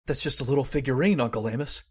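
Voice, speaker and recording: A man playing a grave, haunted nephew who tells his horror story in measured old-time radio style. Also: mid-range voice, husky, close to an everyday voice. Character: somber male narrator of a horror tale, haunted nephew.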